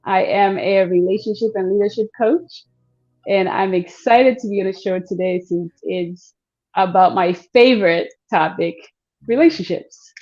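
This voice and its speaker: A woman's voice: medium pitch, unhurried, darkish tone, faint music behind. Cheerful woman from Tanzania, dull remote line. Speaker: relationship and leadership coach, guest. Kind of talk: guest introduction on live show.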